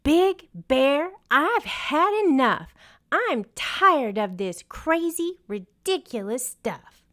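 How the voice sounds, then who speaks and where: A woman's voice: high-pitched, big pitch swings, takes it slowly, a bit bright, voice pushed up, exaggerated voice. A woman voicing an exasperated, proud female autumn wind in a storybook, emphatic and theatrical. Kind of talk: children's picture book read-aloud.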